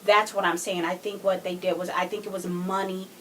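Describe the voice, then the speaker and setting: A woman's voice: mid-range voice, little pitch movement, fairly quick. A woman co-hosting a podcast, talking casually and emphatically as she argues her points in a true crime discussion. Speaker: podcast co-host.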